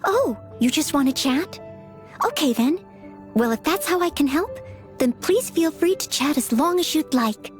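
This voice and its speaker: An earnest young maid from a video game. Her voice sits high and swings widely in pitch. She speaks politely and modestly, always keen to serve, with music underneath. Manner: polite, formal, self-effacing. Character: earnest, eager-to-please young maid and aspiring knight. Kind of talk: video game character voice lines.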